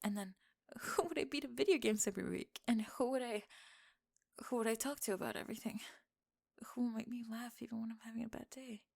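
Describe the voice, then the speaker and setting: Girl doing a tomboy bestie asmr rp, kinda high n creaky, flustered, teasing, whispery and shy. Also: a little mellow. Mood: shy, nervous. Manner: flustered, halting, mock-insulting, often whispering. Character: young tomboy friend, gruff, teasing, shyly lovestruck. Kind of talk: intimate ASMR roleplay acting.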